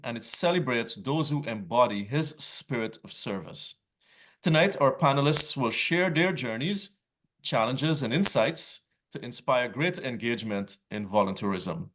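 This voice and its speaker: A man moderating a panel discussion, reading prepared opening remarks in brisk stretches. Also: medium pitch, lively intonation, a bit bright.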